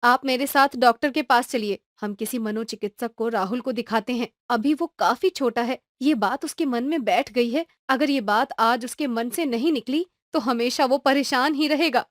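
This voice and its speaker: A woman voicing a caring, firm mother in an animated story, explaining things patiently to her son. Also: fairly high pitch, normal speed, hardly any pauses, no room sound, clean studio sound, close to an everyday voice. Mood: worried. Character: caring young mother, firm but loving.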